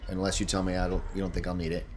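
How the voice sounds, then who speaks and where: Low, halting male tabletop player. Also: completely flat delivery, a little hiss or hum. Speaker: player in a tabletop role-playing game. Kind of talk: casual game-table talk, thinking aloud.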